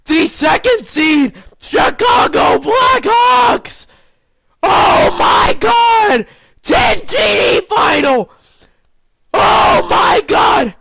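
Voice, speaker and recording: Screaming, high-pitched male hockey commentator. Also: gravelly. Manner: screaming, ecstatic, repetitive exclamations. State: voice strained and giving out from screaming. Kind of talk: frantic amateur esports commentary, profanity-laden. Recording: loud music and crowd roar behind. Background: loud music and crowd noise.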